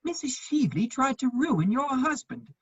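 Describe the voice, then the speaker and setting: A man playing a witty, loyal gentleman bachelor with a husky voice, declaiming earnestly in a theatrical reading of a period comedy. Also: extremely high pitch, lively intonation, moderate pace, no room sound, lo-fi sound, exaggerated voice. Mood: urgent, pleading.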